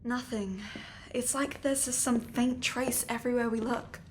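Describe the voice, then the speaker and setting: An actress in an audio drama playing a calm, guarded supernatural investigator, composed, cryptic and quietly protective. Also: female voice, a little high, a little slow, slight background noise, lightly acted. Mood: focused.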